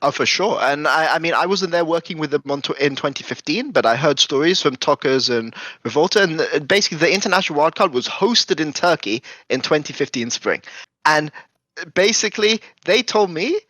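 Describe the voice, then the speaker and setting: A man's voice: fairly high pitch, animated, a little fast, sharp tone, no room sound. A man being interviewed as an esports coach, reminiscing conversationally and often circling back to correct himself.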